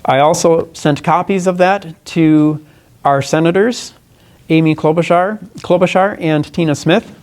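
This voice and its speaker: A man giving a prepared press conference statement, speaking in an earnest, deliberate way with halts and self-corrections. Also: fairly high pitch, a little mellow. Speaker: congressional candidate. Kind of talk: press conference statement, partly read aloud.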